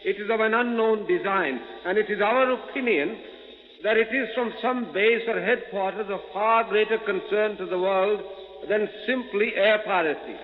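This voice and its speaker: A man's voice: high voice, close to an everyday voice. Man playing a grave spymaster in cavernous reverb. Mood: stern, commanding. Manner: formal, deliberate, imperious. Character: grave, commanding old male spymaster giving orders. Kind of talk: 1940s radio adventure acting. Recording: muffled old radio recording, cavernous reverb.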